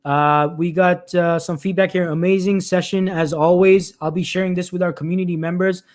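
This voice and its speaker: A man with a high-pitched voice hosts a weekly career live stream and reads viewers' comments aloud. He talks loosely, restarting and repeating himself. His voice is wearing thin, and he keeps clearing his throat. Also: steady tone, moderate pace.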